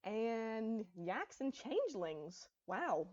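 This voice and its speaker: A woman's voice: on the high side, sing-song, extremely slow, a little mellow, highs cut off, full character voice. Woman voicing a teasing, easygoing young pony. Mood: teasing, curious. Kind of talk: acted dialogue in fan fiction storybook reading.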